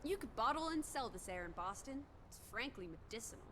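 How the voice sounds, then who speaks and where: A woman's voice: a little high, animated, brisk, background noise, close to an everyday voice. An actress playing a playful young 1920s American woman in a video game, teasing in jaunty old-fashioned slang. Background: music.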